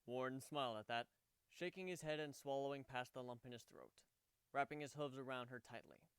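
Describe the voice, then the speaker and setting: A man's voice: medium pitch, brisk, smooth, no room sound. A man narrating a scripted story as a dramatic reading.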